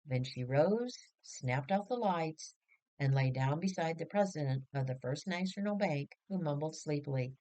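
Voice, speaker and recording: A woman reading a story aloud as an audiobook narrator, measured and expressive in her phrasing. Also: low-pitched, rough recording. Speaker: audiobook reader.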